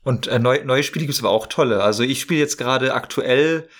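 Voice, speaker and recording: An enthusiastic man talks casually as a guest in a conversation. His voice is of average pitch, and his delivery is informal and conversational. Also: quick pace, a bit bright. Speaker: podcast guest. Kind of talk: casual chat about games.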